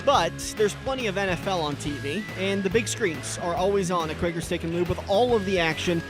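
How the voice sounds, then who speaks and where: An easygoing man hosts a radio talk show, chatting casually and laughing along with his guests. His voice sits a touch high, and soft music plays faintly behind him. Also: a little fast. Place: radio studio.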